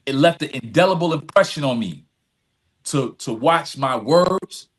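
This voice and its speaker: A middle-aged man with a somewhat high-pitched voice speaks as an interview guest. He tells personal stories candidly, in informal language, often repeating a phrase to drive it home. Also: a bit bright, low-quality recording. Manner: emphatic repetition of phrases, informal address.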